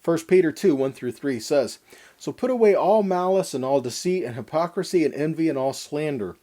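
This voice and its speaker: A man's voice: fairly high pitch, animated, moderate pace, dark tone. A man teaching a scripture lesson in a sermon style, reading verses with emphasis and putting rhetorical questions to his listeners. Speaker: Bible teacher giving a weekly Torah portion lesson.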